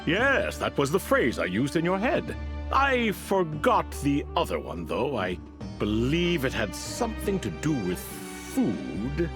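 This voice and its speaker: A man's voice: on the high side, huge pitch swings, slow, music in the background, full character voice. An actor playing an ancient ibis king spirit in a video game, courteous and wistful, with a theatrical, leisurely storytelling manner. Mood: explanatory, breezy.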